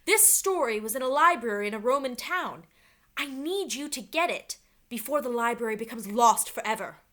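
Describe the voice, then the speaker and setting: A wise, commanding enchantress from a storybook, voiced by a woman reader. She speaks formally and deliberately, in a bright, high voice with expressive rises and falls. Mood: explaining. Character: wise, commanding enchantress librarian giving solemn missions.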